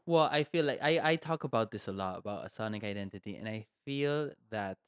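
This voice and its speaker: Man, lowish voice, dry close sound, from Argentina, podcast co-host, rambling, lots of "like". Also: lively intonation, a little fast, smooth, dry recording. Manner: thoughtful and rambling, heavy use of "like" and "you know". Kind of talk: casual conversation.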